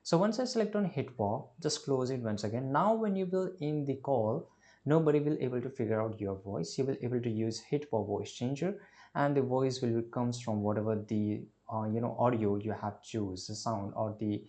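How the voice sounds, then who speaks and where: Man narrating a casual software tutorial. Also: medium pitch. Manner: instructional, often tags sentences with "right?". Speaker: tutorial presenter.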